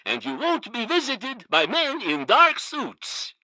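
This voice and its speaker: An actor playing a slick, over-the-top male pitchman in a parody radio commercial, with exaggerated salesman delivery. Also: wildly swooping pitch, takes it slowly, sharp tone, no echo, exaggerated voice. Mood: hard-selling.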